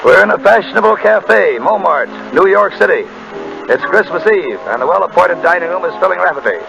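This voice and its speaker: A man's voice: sits high, expressive, a little fast, vocal fry, lo-fi sound, music in the background. A man narrating a scene as an announcer in scripted 1930s radio style. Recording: hissy 1930s radio broadcast.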